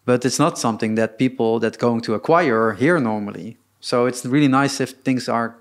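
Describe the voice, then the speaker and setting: A man hosting a casual interview, relaxed and talkative, often stumbling over his words. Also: medium pitch, moderate pace. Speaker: interview host.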